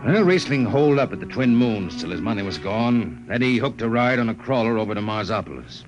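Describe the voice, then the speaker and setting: A man's voice: on the low side, lively intonation, fairly quick, music in the background, close to an everyday voice. An actor playing a gritty, wistful spaceman narrator in a hard-boiled 1950s radio drama. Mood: wry, reflective. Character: weathered spaceman narrator, gritty, wistful old shipmate of a singer. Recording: old 1950s radio broadcast, noisy.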